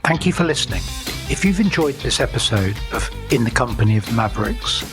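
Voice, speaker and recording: A man's voice: mid-range voice, animated, fairly quick, loud music behind the voice. A man hosting a podcast interview, asking questions in a friendly way and thanking his guest. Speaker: podcast host.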